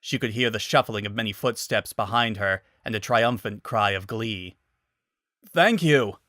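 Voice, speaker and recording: A man's voice: on the low side, fairly even intonation, normal speed, bright, forceful tone, no echo, studio quality. A man giving a dramatic scripted narration of a story.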